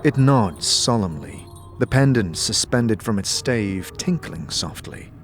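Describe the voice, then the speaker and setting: A man narrating a scripted fantasy audio drama in a measured, descriptive storytelling manner. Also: lowish voice, lively intonation, soft background music.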